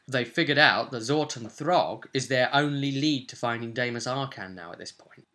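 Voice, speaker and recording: A man's voice: medium pitch, bright, forceful tone. A young adult man chatting informally to camera as a game master, thinking aloud in a rambling way in a room at home. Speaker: vlog host, tabletop game master. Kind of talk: casual vlog talk about a role-playing game. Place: small furnished room at home, indoors. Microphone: webcam or camera at desk distance.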